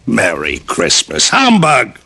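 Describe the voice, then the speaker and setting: A man's voice: lowish voice, huge pitch swings, slow pace, creaky, husky, heavily acted. A male actor playing a nasty, irritable old miser with a sarcastic, grumbling manner in an old radio comedy drama. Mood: irritable, gruff. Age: older. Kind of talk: 1940s-50s radio comedy acting. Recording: old radio broadcast with loud music.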